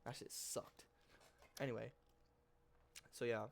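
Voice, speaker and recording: A man's voice: medium pitch, fairly even intonation, slow, creaky, slight background noise. A man with a stuffy nose from a cold, host of a trading channel, talking through his trades in a loose, rambling, slangy way that keeps correcting itself. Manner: slangy and informal, mild swearing. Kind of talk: casual screen-share trade recap.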